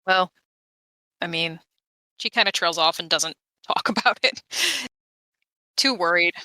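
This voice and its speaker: Female tabletop player, bright forceful tone, dry close recording, casual wry explanations. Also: lowish voice, unhurried, no echo. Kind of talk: casual in-game chat.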